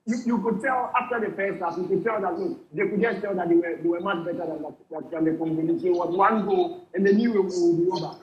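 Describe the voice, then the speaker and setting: A man's voice: high voice, fairly even intonation, quick pace, few pauses, echoey room, lo-fi sound. A man giving fluent, assured football analysis as a remote pundit, repeating words at times.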